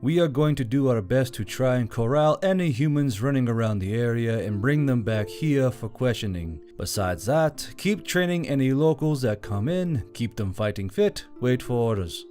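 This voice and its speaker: A man's voice: medium pitch, expressive, normal speed, hardly any pauses, mellow, faint music behind, natural voice. A man voicing a businesslike male officer briefing troops in an audiobook. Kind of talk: audiobook character acting.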